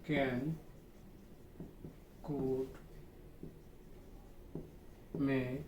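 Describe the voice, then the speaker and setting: A man's voice: mid-range voice, little pitch movement, extremely slow. A man with a Bangladeshi accent and Bengali as first language, giving an instructional online class as a teacher, repeating key terms and checking understanding. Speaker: English grammar teacher. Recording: echoey room, distant mic, faint noise.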